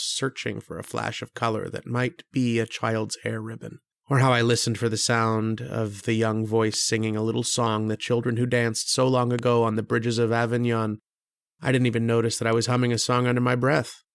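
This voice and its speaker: An actor playing a polite, curious student lodger who tells a ghost tale in old-time radio horror style. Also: male voice, medium pitch, flat delivery, vocal fry, no room sound, clean studio sound, natural voice. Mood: brooding, reflective. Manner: hesitant in dialogue, brooding and measured in narration.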